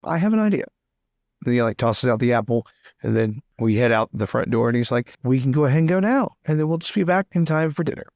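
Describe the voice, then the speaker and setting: Male roleplay player, mid-pitched and creaky, bantering between narration and improvised dialogue. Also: lively intonation, fast, darkish tone, dry recording, studio quality.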